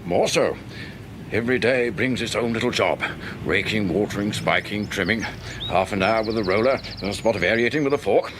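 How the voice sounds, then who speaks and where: A man's voice: fairly low pitch, fairly quick, noisy background, natural voice. An actor playing a fussy, irascible retired British man in a radio drama, blustering and grumbling. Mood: proud, fussy. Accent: British English. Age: older. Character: fussy, irascible retired husband obsessed with his lawn.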